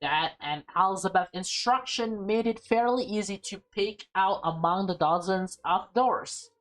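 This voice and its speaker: A woman doing a casual let's-play, reading a visual novel aloud haltingly, with stumbles, self-corrections and offhand commentary. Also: mid-range voice.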